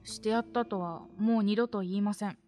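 A woman's voice: medium pitch, steady tone, a little fast, mellow, soft background music, lightly acted. A woman acting an earnest twelve-year-old schoolboy in a voice drama, speaking in a plain boyish way. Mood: chastened. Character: earnest twelve-year-old boy, a sixth-grade pupil. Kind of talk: voice drama acting, letter reading.

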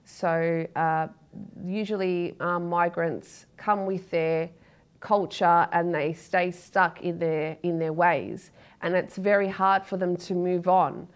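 A woman's voice: on the low side, fairly even intonation, slow, clean tone. A woman in her thirties with an Australian accent, a poet speaking openly and earnestly in a studio interview, with many ums and restarts. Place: dark TV studio, seated in a chair.

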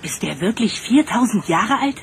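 A woman's voice: on the high side, lively intonation, moderate pace, vocal fry, a little hiss or hum. A woman voicing a curious, eager young boy in a radio play. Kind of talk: German radio play dialogue.

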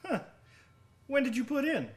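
A man's voice: very high-pitched, big pitch swings, moderate pace. An actor in a radio drama playing a genial, obliging man, eager and polite as he questions his friend. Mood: genial, welcoming. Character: genial, obliging male friend of an old sailor.

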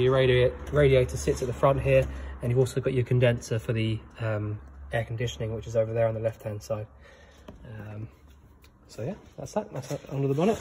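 Man, relaxed phone walkthrough of a van, steady noise behind, mid pitch. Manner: relaxed, many ums, explains plainly. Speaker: camper van handover guide. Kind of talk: casual walkthrough, filmed on phone. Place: in and around a parked van, workshop. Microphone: handheld phone, one-handed filming.

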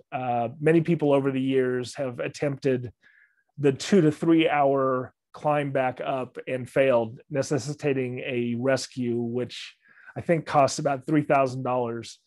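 A man's voice: mid-range voice, a little mellow, no echo. A middle-aged man giving a friendly, loosely worded travel talk with slides over a video call. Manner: friendly, loose, with fillers and restarts. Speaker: library travel club presenter. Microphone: video call webcam.

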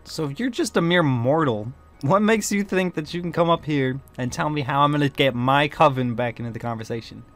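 A man's voice: on the high side, brisk, soft background music, close to an everyday voice. A man playing a bitter, proud, suspicious warlock leader in an amateur game roleplay, brooding dramatically and snapping back with sassy slang.

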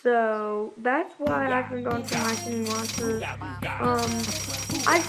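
A woman's voice: fairly high pitch, fairly even intonation, slow. A young woman hosting a gaming channel, rambling through a casual voice-over with many ums and restarts. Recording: loud game music behind. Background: loud music.

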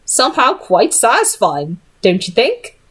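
A woman's voice: fairly high pitch, wildly swooping pitch, unhurried, fairly bright tone, husky, pitched above the performer's own voice, exaggerated voice. A cheeky teenage boy from a storybook, voiced by a woman. He talks with cheerful, wry mischief.

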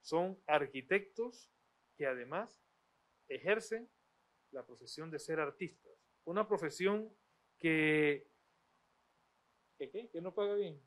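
A middle-aged man, formally reading guest introductions and then moderating a university panel with measured pauses, on a handheld mic in a studio. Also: a little high, animated, a bit bright. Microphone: handheld microphone.

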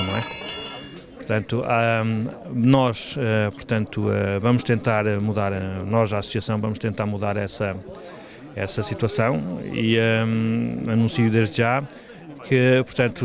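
A man's voice: mid-range voice, vocal fry. A man, speaking hesitantly in an outdoor interview as an association president. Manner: hesitant, halting delivery. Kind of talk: on-site TV interview statement. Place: outdoors in a village square. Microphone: handheld interview microphone. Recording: busy crowd chatter behind.

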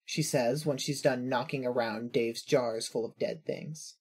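A narrator reading second-person fan fiction in a husky voice. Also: medium pitch, fairly even intonation, unhurried, a little mellow.

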